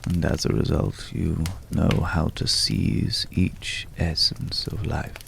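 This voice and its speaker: A very deep, mysterious gentleman acted by a man speaks in a heavily creaking, hushed voice over noticeable background noise. He is courtly and world-weary, choosing florid, old-fashioned words as in an intimate ASMR roleplay. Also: steady tone, slow pace, heavily acted. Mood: wistful, pensive. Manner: archaic, florid, hesitant, pensive phrasing.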